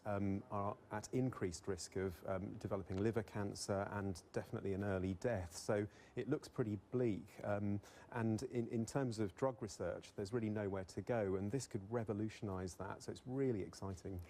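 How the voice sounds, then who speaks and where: Mellow male doctor, lowish voice. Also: a little hiss or hum. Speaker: family doctor and health presenter. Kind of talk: expert interview commentary.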